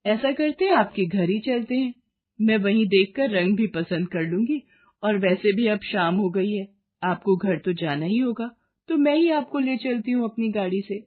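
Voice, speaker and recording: A woman's voice: medium pitch, expressive, normal speed, narrow, tinny audio, close to an everyday voice. A woman voicing a kind, generous rich young woman in a moral story, polite, confident and decisive. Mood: confident, friendly. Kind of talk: acted dialogue in a moral story.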